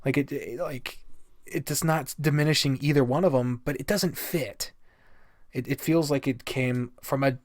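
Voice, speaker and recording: A man's voice: mid-range voice, fairly even intonation, normal speed, dry recording, clean studio sound. A man giving a casual, rambling game review in a blunt way, swearing freely. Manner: blunt, profane. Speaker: video game reviewer, host.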